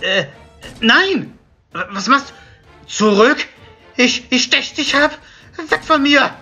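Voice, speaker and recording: Fantasy audio drama: flustered, cowardly manservant female actor, stammering, bright and slow. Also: sits high, expressive, faint music behind, heavily acted. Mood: panicked. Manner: stammering, whiny threats. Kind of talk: fan-made fantasy audio drama acting.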